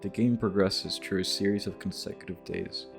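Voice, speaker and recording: Low-voiced man narrating over guitar music. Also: steady tone, moderate pace, vocal fry. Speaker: video essay narrator. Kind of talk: casual scripted video game commentary. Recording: music behind the voice.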